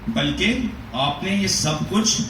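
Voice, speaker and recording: A man giving a measured, rhetorical dramatic reading of a literary prose essay, asking pointed questions as he goes. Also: high voice, sharp tone. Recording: echoey room, voice from a distance, faint noise.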